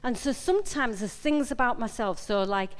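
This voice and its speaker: A woman's voice: a little high, normal speed, smooth. Yorkshire woman preaching in a reverberant hall. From Yorkshire, England. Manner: conversational, emphatic, repeats phrases and questions the listeners directly. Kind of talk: informal personal sermon. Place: large indoor meeting room. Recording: echoey hall with long reverb.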